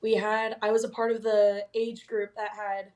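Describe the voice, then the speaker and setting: A young woman from Colorado, a college soccer player, talking casually as an interview guest; her voice is raspy while it recovers from being lost, and she laughs often. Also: medium pitch, flat delivery, normal speed, darkish tone. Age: young adult. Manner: upbeat, laughs often, says "like" a lot.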